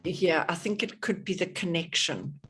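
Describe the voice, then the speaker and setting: A woman's voice: on the low side, moderate pace, fairly bright tone, slightly rough. A middle-aged woman with a South African accent hosting an online workshop over a video call, chatty, with many fillers and restarts. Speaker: workshop host.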